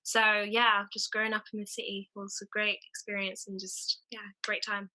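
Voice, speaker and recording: A young woman with a London accent gives a casual, conversational account as an interview guest. Her voice is strikingly bright and crisp-edged, sitting at an average pitch. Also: flat delivery, moderate pace, rough recording. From London, England. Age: 20s. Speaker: interview guest, drama graduate.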